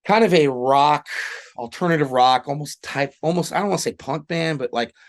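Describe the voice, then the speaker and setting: A man's voice: on the high side, normal speed. Man, middle-aged, from Southern California, podcast guest, candid storytelling, casual cursing. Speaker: guest, singer-songwriter and Marine veteran. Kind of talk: casual interview chat.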